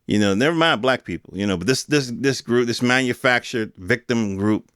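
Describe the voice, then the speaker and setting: A man's voice: mid-range voice, moderate pace, fairly bright tone, dry recording, studio quality. An American man in his fifties giving a casual, opinionated solo monologue in emphatic, slangy, conversational speech. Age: 50s. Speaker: podcast host.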